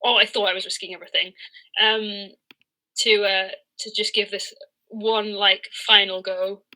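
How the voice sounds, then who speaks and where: A woman's voice: medium pitch, flat delivery, measured pace, sharp tone, low-quality recording. A woman in her early thirties giving a reflective, conversational interview, speaking candidly and hesitantly as she searches for words. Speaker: interviewed professional cricketer and coach.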